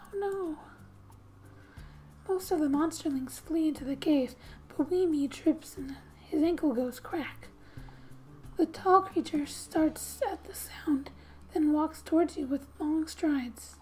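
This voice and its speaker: A woman speaking softly and breathily in gentle ASMR style, often slipping into a whisper as she reads a game story aloud with casual asides. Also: sits high, takes it slowly. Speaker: gaming ASMR creator. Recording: quiet background music. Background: soft music.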